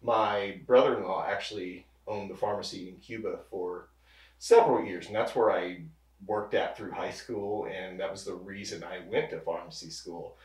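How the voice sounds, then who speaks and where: A man in his thirties, a pharmacist, talking casually as an interview guest, relaxed and rambling with many restarts. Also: deep voice, moderate pace. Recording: roomy echo, distant mic.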